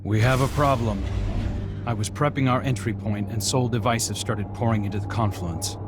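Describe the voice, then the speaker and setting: A man's voice: fairly low pitch, moderate pace, natural voice. An actor playing an alert, pragmatic male scout in a video game, speaking in an urgent, clipped way. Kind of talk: video game mission dialogue. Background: clear music.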